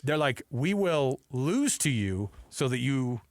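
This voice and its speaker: An American man delivers opinionated sports commentary as a radio host. His voice sits at a medium pitch, with an emphatic, conversational delivery. The studio sound is dry and close. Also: big pitch swings, slow pace, no room sound.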